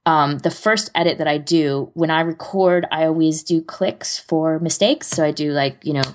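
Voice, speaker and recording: A woman with a notably low-pitched voice talks casually as a podcast co-host. She explains things step by step in an informal, conversational way, drifting into loose asides. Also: normal speed, a little mellow. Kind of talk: casual chat.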